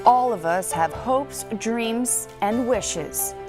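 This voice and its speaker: A woman's voice: a little high, animated, very slow, music in the background. A woman hosting a local news show, reading scripted narration in an upbeat, chatty way.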